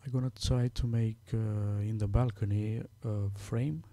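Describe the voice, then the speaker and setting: A man with a Romanian accent, Romanian his first language, talking through a software tutorial step by step, halting with many fillers as he works. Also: fairly low pitch, fairly even intonation, dry recording. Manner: halting, many fillers, thinking aloud while working. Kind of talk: screen-recorded software tutorial.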